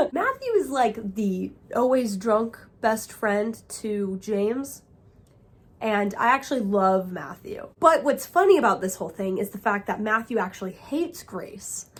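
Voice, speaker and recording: A young woman chatting excitedly to camera as a book vlogger, sounding a little nasal from a cold. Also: fairly high pitch. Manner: excited, chatty, talks to viewers as friends. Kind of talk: casual chat to camera.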